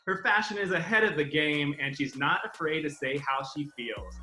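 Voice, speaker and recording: A man's voice: on the high side, moderate pace, sharp tone, roomy sound, faint music behind, rough recording. A man hosting an interview, reading a polished intro and asking questions in an upbeat way. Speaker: interview host.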